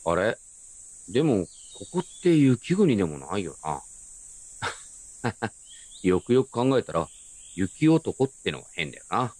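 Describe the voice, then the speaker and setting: A man playing a gentle, nostalgic grown man who narrates his memories in a reflective, unhurried radio drama style. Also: on the low side, big pitch swings, no echo. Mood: friendly, musing. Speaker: narrator and lead actor. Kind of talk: first-person radio drama narration with character dialogue.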